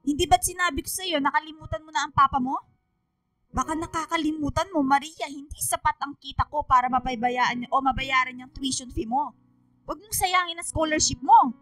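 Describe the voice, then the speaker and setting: A woman's voice: high voice, a little fast, fairly bright tone, no room sound, faint music behind, low-quality recording. A woman playing a strict but protective, struggling single mother in a Filipino radio drama. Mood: scolding.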